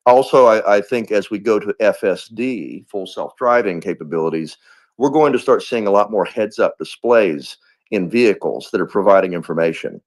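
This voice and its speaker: Man, low voice, rambling casual talker. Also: moderate pace. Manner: rambling, full of restarts. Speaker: guest speaker in a live audio space. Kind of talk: casual chat.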